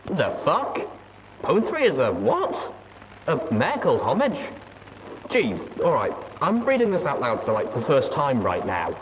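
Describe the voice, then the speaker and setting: A man reading a story aloud as host, in expressive first-person narration. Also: mid-range voice, unhurried, a little hiss or hum, low-quality recording. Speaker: audiobook reader and show host.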